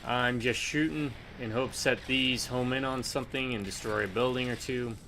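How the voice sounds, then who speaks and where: A man's voice: medium pitch, steady tone, normal speed, background noise. A man in easygoing gaming chat, mostly giving short replies. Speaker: gamer.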